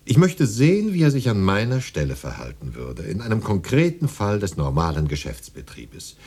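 Low-voiced, sceptical poet, very animated. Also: male voice, normal speed, breathy edge, full character voice. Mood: hesitant, curious. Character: sceptical, modest male poet running a business. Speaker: poet and customer. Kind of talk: radio drama acting.

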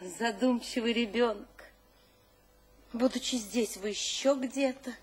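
An actress playing an imperious, fervently mystical literary salon hostess in an audio drama, with theatrical declamation and dramatic pauses. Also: female voice, on the high side, slightly rough. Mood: intimate, imperious. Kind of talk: audio drama stage acting.

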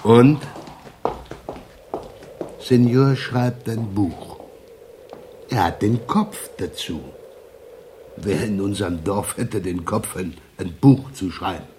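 A man playing a gruff, talkative, wine-loving Basque village innkeeper with a ponderous, knowing manner, in a German radio crime drama. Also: fairly low pitch, takes it slowly, pauses often, mellow. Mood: tipsy grumbling. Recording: hissy 1970s radio play recording.

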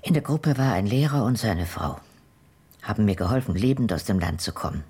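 Radio drama: shrewd elderly woman, husky, animated pitch, dry wit, determined. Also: fairly quick, full character voice. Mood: grave, recounting. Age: older. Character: shrewd, determined old woman, returned emigrant investigating betrayal.

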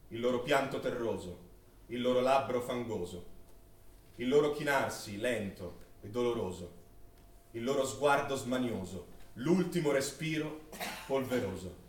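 A man's voice: mid-range voice, lively intonation, natural voice. Actor as solemn male witness in echoing space. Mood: solemn mourning. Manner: solemn, declamatory, with deliberate pauses. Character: grave, anguished male witness-narrator of a wartime massacre. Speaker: stage actor narrating a play. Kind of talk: dramatic theatrical recitation of wartime testimony. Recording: very echoey, distant stage recording.

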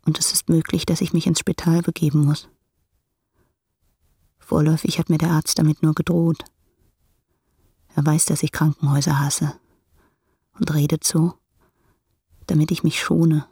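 Breathy, creaky-voiced despairing young woman. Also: low voice, little pitch movement, dark tone, exaggerated voice. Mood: weary. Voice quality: breathy, husky with creaky edges. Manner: halting, subdued, confessional. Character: lonely, despairing young Czech woman confessing in letters. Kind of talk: intimate radio drama letter monologue.

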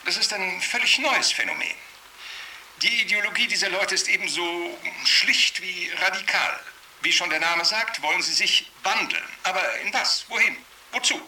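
A man's voice: high-pitched, normal speed, sharp tone, slight background noise, exaggerated voice, rough recording. An actor playing an alarmed, self-assured male cult expert, lecturing formally and emphatically in a radio drama interview. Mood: alarmed, insistent. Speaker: TV studio expert being interviewed. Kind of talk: radio drama acting as broadcast expert commentary.

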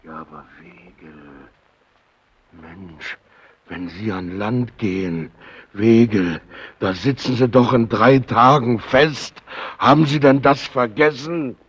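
A man's voice: medium pitch, slow pace, breathy edge. An actor playing a domineering, suspicious watchman who needles and questions insistently, in old radio drama style. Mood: mocking menace. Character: domineering, suspicious fellow watchman, bullying and mocking. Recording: dull, band-limited old radio recording.

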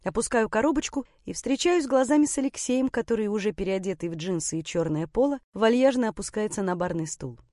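A woman's voice: medium pitch, animated, fairly quick, few pauses, warm tone, no room sound, clean studio sound. A woman reading a scripted first-person story as an audiobook narrator.

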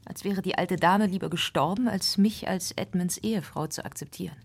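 A woman's voice: lowish voice, brisk, a little mellow, slightly rough. A woman acting an anguished, formally polite Victorian lady of the house in a radio crime drama, speaking earnestly. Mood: troubled, confiding.

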